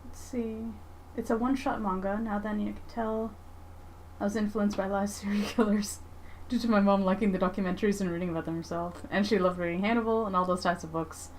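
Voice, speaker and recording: A woman's voice: mid-range voice, fairly even intonation, brisk, darkish tone, a little hiss or hum, low-quality recording. Rambling woman chatting casually on a livestream. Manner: rambling, thinking aloud, emphatic. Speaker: livestream host, comic artist.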